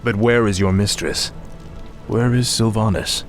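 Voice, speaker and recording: Casting: commanding, grim male monarch for fantasy game , man acting, very low voice, determined. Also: steady tone, measured pace, soft background music, lightly acted. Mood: stern. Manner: terse, stern. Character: grim, weakened dark king, commanding and determined.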